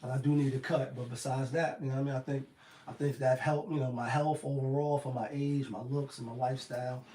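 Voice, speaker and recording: A man with a slightly raspy voice giving a casual, rambling motivational talk full of slang and "you know what I mean.". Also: mid-range voice, level tone, a little fast, dark tone, echoey room. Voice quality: a little raspy. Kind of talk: casual motivational monologue to camera.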